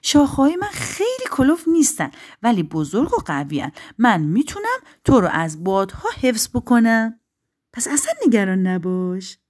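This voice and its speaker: A woman's voice: a little high, sing-song, a little mellow, pitched above the performer's own voice. A woman voicing a kind, protective pine tree in a children's story, speaking reassuringly.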